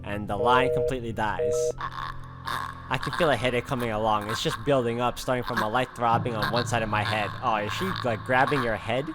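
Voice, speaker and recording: Loud music behind, male gaming host, mid-pitched, dramatic reading of game text, reactive commentary. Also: steady tone, brisk. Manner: dramatic reader, exclaims at the game.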